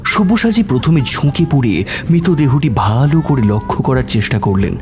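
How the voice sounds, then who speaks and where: A man's voice: medium pitch, animated, moderate pace, over music. A man narrating a scripted detective story in a dramatic audio-drama style.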